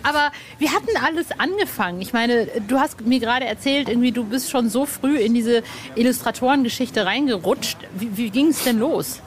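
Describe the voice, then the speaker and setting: Informal woman interviewer, higher-pitched voice. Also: animated, brisk. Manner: informal, slangy, mixes in English phrases. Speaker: podcast interviewer. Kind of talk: casual chat interview.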